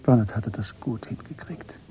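A man narrating a literary radio drama from a script in a measured, understated way. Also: fairly low pitch, warm tone, in a whisper.

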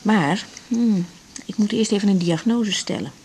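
A woman's voice: medium pitch, a little slow, darkish tone, a little hiss or hum, natural voice. A woman playing a caring, frank young doctor in a naturalistic radio drama. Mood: caring, brisk.

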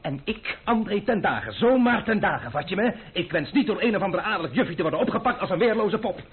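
A man's voice: high voice, expressive, fast. Lovesick man on a noisy old radio. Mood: indignant. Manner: agitated, rambling, repeating himself. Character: lovesick, bitter, proud man drowning his sorrows in wine. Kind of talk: radio drama acting. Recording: noisy, narrow-band old radio recording.

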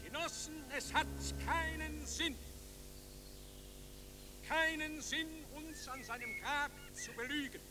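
High-pitched, fervent communist orator. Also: male voice, over music. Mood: grave, accusing. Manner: declamatory, emphatic, halting for effect. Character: fervent communist comrade giving a graveside oration. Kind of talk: radio play oratory.

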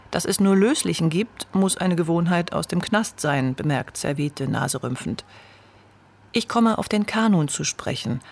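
A woman narrating a radio documentary in a calm, measured, scripted way. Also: fairly low pitch.

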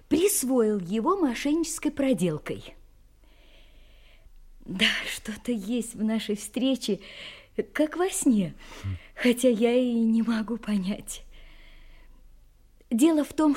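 An actress playing a refined, self-possessed young gentlewoman, candid and faintly mysterious, in an audio drama, speaking elegantly with dramatic pauses. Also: sits high, full character voice. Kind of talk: Russian audio drama acting.

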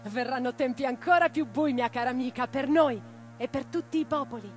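A woman's voice: high voice, a little fast, soft background music, natural voice. An actress playing an impassioned middle-aged socialist revolutionary woman in a theatrical radio drama. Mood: grave. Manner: declamatory in speeches, intimate in private moments.

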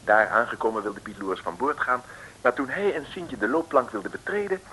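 A man's voice: mid-range voice, animated, a little fast, sharp tone, a little hiss or hum, poor audio. A man narrating a comic radio drama as a wry, theatrical scripted storyteller on an old recording.

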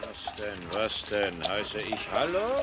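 Mürrischer Droschkenkutscher. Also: male voice, on the low side, very animated, slow. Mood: grumpy, deadpan. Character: grumpy, literal-minded male coach driver. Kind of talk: radio play acting. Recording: clear street traffic noise behind.

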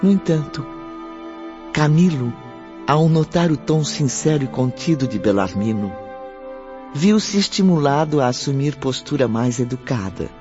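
A man's voice: mid-range voice, lively intonation, a little slow, darkish tone, natural voice. A man with a Brazilian accent narrating a radio drama in a composed, understanding manner. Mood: measured, understanding. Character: composed, understanding male narrator from the spirit world. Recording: dull lo-fi audio, faint music.

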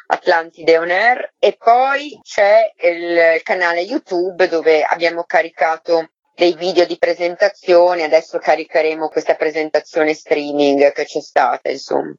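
A woman being interviewed on radio, thinking aloud in a halting, reflective way and often adding tag questions. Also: lowish voice, no echo, muffled recording. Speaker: interviewed artistic coordinator of a theatre artists' project.

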